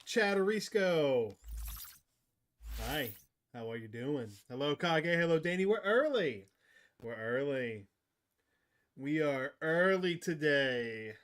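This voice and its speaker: A man's voice: on the high side, animated, slow, long pauses, a bit bright. A man hosting a gaming livestream, chatting casually and enthusiastically with his viewers. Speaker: livestream host. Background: game music.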